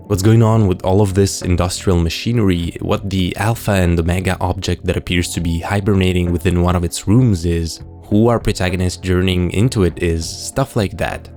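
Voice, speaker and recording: A low-pitched male narrator walks through his analysis like a video essayist. His delivery is scripted yet conversational, speculative and explanatory, with dry asides. Faint music plays underneath. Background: soft music bed.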